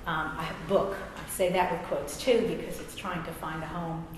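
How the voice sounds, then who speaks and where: A woman's voice: low voice, steady tone, moderate pace. A middle-aged woman with an American accent reading a personal essay aloud, with wry, self-deprecating, conversational asides. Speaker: author and English professor. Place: large reverberant room at a live reading. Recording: very echoey hall, faint background noise.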